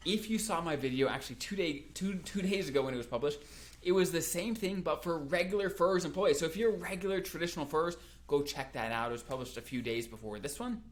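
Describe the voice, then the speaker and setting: Upbeat man explaining retirement rules. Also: medium pitch. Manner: upbeat, energetic, with frequent restarts and emphatic peaks. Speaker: financial advisor hosting a video channel. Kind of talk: casual explainer video.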